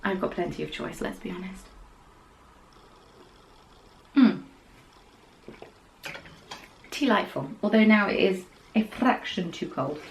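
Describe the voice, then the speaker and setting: A young woman hosts a casual podcast. She talks in a chatty, rambling way and drops her voice to a whisper for quick asides. Her pitch sits in the middle of the usual range. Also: lively intonation, low-quality recording. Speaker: podcast host. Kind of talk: casual chat about knitting.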